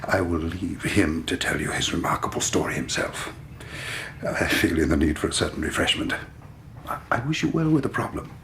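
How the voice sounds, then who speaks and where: An actor playing a genial, indolent and superior British gentleman sleuth in a period radio drama, urbane and self-assured. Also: sits low, big pitch swings, moderate pace, creaky, slight background noise, full character voice. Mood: leisurely, assured.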